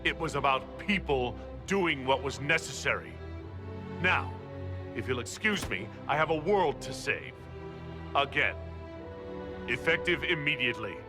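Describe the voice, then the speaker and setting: An actor playing a defiant, weary leader of a fantasy order in a video game, with earnest, emphatic delivery. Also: male voice, medium pitch, animated, a little slow, pauses often, lightly acted. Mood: resolute. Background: music.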